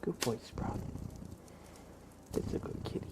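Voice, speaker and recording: A man softly whispering as an ASMR livestreamer, chatting affectionately as if to a pet. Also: unhurried, heavy background noise. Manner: affectionate baby-talk to a pet cat.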